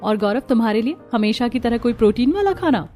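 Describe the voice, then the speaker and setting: A woman's voice: on the high side, expressive, fairly quick, mellow, faint music behind, close to an everyday voice. A woman voicing a devoted young wife in a cartoon story, sweet and attentive.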